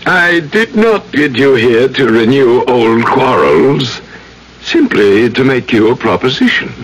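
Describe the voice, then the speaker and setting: An actor playing a haughty, scheming heir in a radio drama, with a formal, curt way of talking. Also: male voice, medium pitch, lively intonation, slow, fairly bright tone, slightly rough, heavily acted, poor audio. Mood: calculating. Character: haughty, scheming male heir of a landed family.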